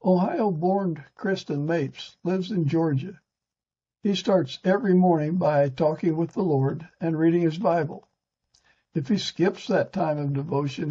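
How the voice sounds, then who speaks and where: A man with a gravelly, husky voice hosting a podcast, mostly reading prepared narration with short conversational interjections. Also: on the high side, warm tone, lo-fi sound. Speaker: podcast host.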